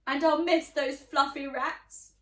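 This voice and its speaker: Woman playing gushing, tearful, high-pitched neighbour. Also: steady tone, slow, far from the mic. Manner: gushing, melodramatic. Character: gushing, tearful townsfolk missing departed pirates. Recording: roomy, echoey, away from the mic.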